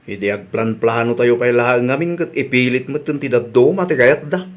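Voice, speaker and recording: A man's voice: mid-range voice, normal speed, close to an everyday voice. A man playing a sympathetic grown son in a family radio drama, conversational, with an Ilocano accent. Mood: disapproving.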